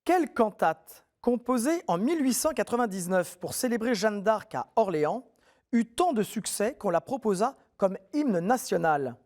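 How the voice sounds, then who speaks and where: Lively, encouraging quiz show host, man. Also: high voice, normal speed. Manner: friendly, encouraging, clear question reading. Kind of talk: TV quiz hosting, reading questions.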